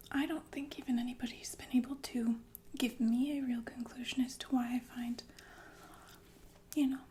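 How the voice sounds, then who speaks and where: A woman playing a calmly menacing, sadistic killer nurse in an intimate, deliberate ASMR roleplay full of pensive pauses. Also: high voice, a little hiss or hum. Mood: menacing musing. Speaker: ASMR performer. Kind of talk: ASMR roleplay monologue in whispers.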